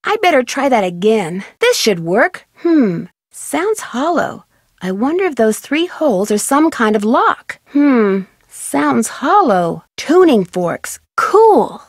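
A woman's voice: on the high side, sing-song, slow pace, dry recording, studio quality, heavily acted. A young woman with an American accent playing a plucky amateur detective in a video game, chipper and wholesome as she thinks aloud. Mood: delighted.

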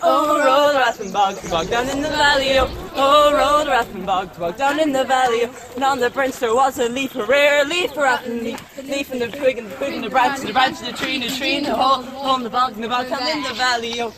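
A man leading a cumulative scout song, chanting loudly in a sing-song way. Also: very high voice, fairly quick, few pauses. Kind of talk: group campfire song, call and response. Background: crowd of young people singing along.

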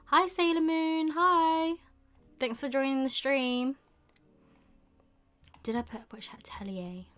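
A young woman hosting a casual live stream, thinking aloud in an unhurried way with murmured asides. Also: high-pitched, a bit bright, faint music behind. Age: young adult. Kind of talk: casual chat while shopping online. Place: small indoor room with bookshelves.

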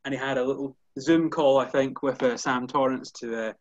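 A Scottish man in his thirties talks on a video call, fluent and measured, with lots of "you know" between his points. His voice sits at a middle pitch. The line sounds dull and dry, with no room around it. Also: fairly even intonation, no room sound. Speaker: golf journalist. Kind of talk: casual video-call chat. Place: indoor room with curtains behind. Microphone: headphones on a video call.

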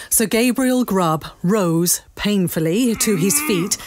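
A woman narrating a dramatic scripted story in measured, deliberate phrases. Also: medium pitch, a little mellow, no room sound. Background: music.

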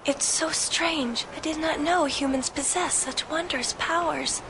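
A mysterious young woman from another world in an anime game. The voice is high, solemn and formally measured, with clear background noise. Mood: wondering. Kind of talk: anime game cutscene acting.

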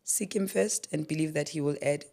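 Low, gravelly South African woman preaching. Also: brisk, warm tone. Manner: preacherly, repeats phrases for emphasis. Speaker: author and interview guest. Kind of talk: faith-based interview talk. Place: podcast studio with a yellow backdrop. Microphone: studio mic on a boom arm.

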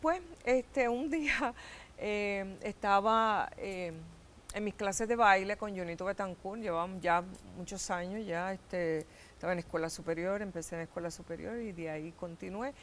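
A middle-aged Puerto Rican woman, an actress, telling stories as a guest in a TV studio interview, animated and gesturing. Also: mid-range voice, normal speed, a little hiss or hum. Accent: Puerto Rican Spanish. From Puerto Rico. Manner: animated storytelling with gestures, laughs and acted-out quotes. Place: TV studio set.

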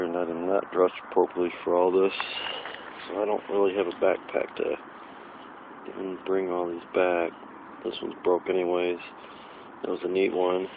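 Man, chatty and excitable, low voice, tinny lo-fi camera audio, outdoor hiss. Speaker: metal detecting vlogger. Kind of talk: casual outdoor vlog narration. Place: wooded area outdoors. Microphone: handheld camera.

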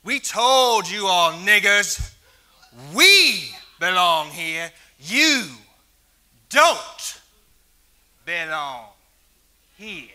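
Guy doing a nasty bomber, slow drawl, mocking, crisp bright voice. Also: high voice, big pitch swings, full character voice. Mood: hateful. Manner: taunting, mocking, swings from muttering to shouting. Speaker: actor in a church skit. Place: church stage with audience.

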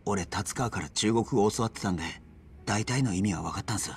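A man's voice: on the low side, a little fast, faint music behind, natural voice. A man playing an earnest, deferential young gangster underling in a video game drama.

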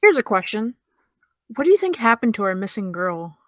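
A woman's voice: mid-range voice, big pitch swings, moderate pace, dry recording, clean studio sound, natural voice. A young actress playing a sarcastic young woman who teases and needles in an audio drama. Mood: needling. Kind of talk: audio drama dialogue of a video call.

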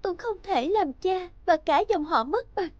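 A woman voicing a gentle, dutiful young bride in a Vietnamese cartoon, speaking politely and earnestly. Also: extremely high pitch, expressive, normal speed, no echo, highs cut off. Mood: earnest, resolved. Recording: background music under the voice.

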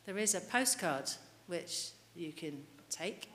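A woman's voice: on the low side, animated, slow pace, a bit bright. A middle-aged woman preaching a sermon at a church lectern mic, earnestly putting questions to the congregation. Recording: reverberant church hall, light hiss.

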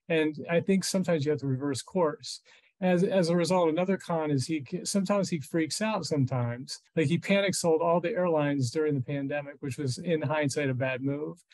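American guy in his 50s, slightly high voice, chatting like a finance expert on a video call. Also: darkish tone, low-quality recording. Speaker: financial journalist interviewed as expert. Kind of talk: conversational expert commentary. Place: small furnished room at home.

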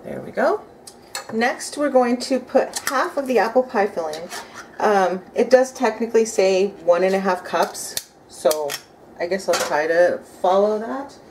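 A woman's voice: mid-range voice, unhurried. Chatty woman cooking in her kitchen. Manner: chatty, upbeat, thinks aloud while cooking. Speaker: home cooking vlogger. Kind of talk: casual cook-along narration. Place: home kitchen, indoors. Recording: home kitchen, clear noise of dishes and utensils. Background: clinking cutlery and pots.

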